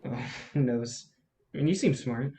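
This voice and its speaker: A man's voice: medium pitch, moderate pace, mellow, lightly acted. A man in a staged play reading, playing an earnest, self-effacing young assistant with a hesitant, sincere way of talking.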